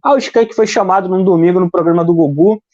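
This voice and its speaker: A man's voice: sits high, quick pace, a little mellow. Heated Brazilian man on a dull stream line. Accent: Brazilian Portuguese. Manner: heated, rambling, repeats words when searching. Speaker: commentator on a live stream. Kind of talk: casual political commentary.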